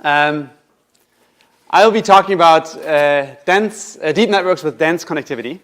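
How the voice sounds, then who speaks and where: A man giving an academic lecture as guest speaker, relaxed and conversational with frequent fillers and a loud voice. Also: a little high, moderate pace.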